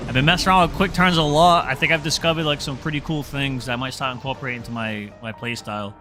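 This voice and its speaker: A man's voice: medium pitch, steady tone, fast talker, pushed and bright, faint music behind. A man from New England with a Boston accent, chatting casually with viewers while streaming a game, dropping his R's and filling his talk with "like". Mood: excited. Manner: rambling, filler-heavy, drops his R's. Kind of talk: casual gaming livestream chat.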